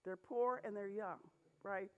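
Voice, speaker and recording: A woman's voice: medium pitch, fairly even intonation, unhurried, no echo, phone-quality sound. A woman addressing a group of pastors in an emphatic talk, often checking in with "okay?" and asking rhetorical questions. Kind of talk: emphatic talk to a church audience.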